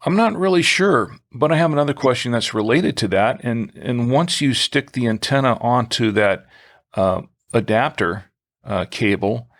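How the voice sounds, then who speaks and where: A man's voice: medium pitch, lively intonation, normal speed. A man co-hosting a podcast, talking through a product in a conversational way, hesitating and repeating himself as he goes. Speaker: podcast co-host.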